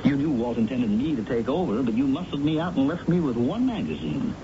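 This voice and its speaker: An actor playing a bitter, lovelorn, defeated middle-aged editor with an American accent, theatrical and sardonic, in 1970s radio drama style. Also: male voice, medium pitch, animated, brisk, natural voice. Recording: muffled, noisy old radio broadcast recording.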